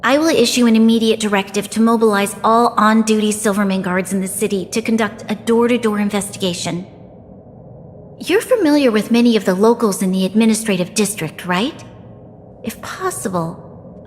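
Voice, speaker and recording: A woman voicing a gracious, composed young female leader in a video game, formal, measured and authoritative. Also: medium pitch, slight background noise, lightly acted. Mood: resolute. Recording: reverberant hall, music behind.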